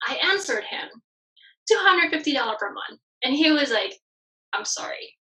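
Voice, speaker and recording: A woman from Bali, Indonesia, in her thirties, telling her story as a guest in a casual podcast interview. She talks in an animated way and laughs often. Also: high voice, normal speed, rough recording. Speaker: guest, branding expert.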